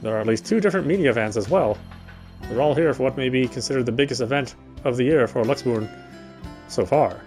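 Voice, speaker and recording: A man reads a visual novel script aloud in a mid-pitched voice. He delivers it as story narration, with soft music playing underneath. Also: fast. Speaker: let's player reading a visual novel aloud.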